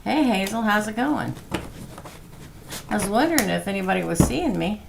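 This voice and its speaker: A hoarse woman who is losing her voice, hosting a livestream reading and chatting softly and slowly. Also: mid-range voice, expressive, heavy background noise. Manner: soft-spoken, slow and chatty. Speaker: tarot reader hosting a livestream. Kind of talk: casual livestream chat.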